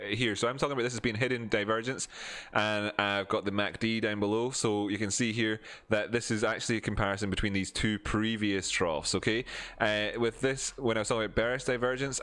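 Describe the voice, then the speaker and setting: Low-voiced Edinburgh Scottish man streaming. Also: steady tone, brisk, a bit bright. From Scotland. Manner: rambling, many fillers and restarts, answering chat. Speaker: crypto streamer. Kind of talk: casual live-stream chat and chart teaching.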